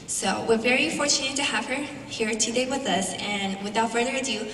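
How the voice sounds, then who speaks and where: A woman's voice: fairly high pitch, a little fast, a bit bright, a little hiss or hum. A woman moderator introducing the next presenter at a symposium, with many ums and small stumbles. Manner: reads introduction with many ums and a self-correction. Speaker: event moderator. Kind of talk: speaker introduction. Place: large lecture hall. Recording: echoey hall, far from mic.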